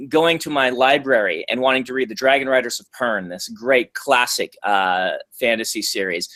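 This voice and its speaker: A man talking casually in an interview at a middling pitch, his answers thoughtful and loose. He pauses often with ums and restarts his sentences as he works out what to say. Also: a bit bright. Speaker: author being interviewed.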